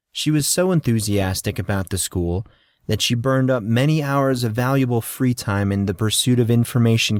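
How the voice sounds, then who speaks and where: Low-voiced male audiobook narrator. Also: darkish tone, dry recording, studio quality.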